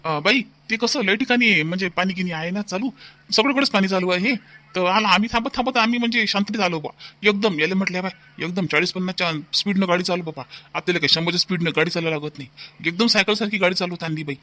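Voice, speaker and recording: A man's voice: high-pitched, quick pace, sharp tone. A man voicing a chatty, easygoing older village father-in-law in a cartoon comedy, rambling and repeating himself in a rustic Vidarbha Marathi accent. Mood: jolly, rambling. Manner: rambling, folksy, repeats phrases.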